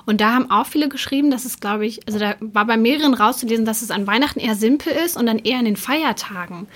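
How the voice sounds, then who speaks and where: A woman's voice: a little high, fast, a bit bright. A young woman co-hosting a podcast, chatting casually and explaining things in an easygoing way. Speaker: podcast co-host.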